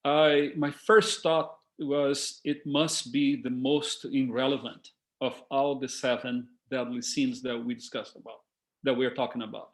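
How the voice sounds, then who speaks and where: A man from Brazil whose first language is Portuguese, speaking English with a Brazilian Portuguese accent, giving a lively, engaging talk to a group on a video call. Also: mid-range voice, unhurried. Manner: lively and engaging, addresses listeners as folks. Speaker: guest speaker.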